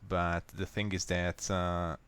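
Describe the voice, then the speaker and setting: A man with a Hungarian accent, a native Hungarian speaker, calmly walking viewers step by step through a software fix in a screen recording. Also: low-pitched, smooth. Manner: unhurried, plain, step-by-step explaining. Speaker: software tutorial maker. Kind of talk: screen-recorded how-to walkthrough.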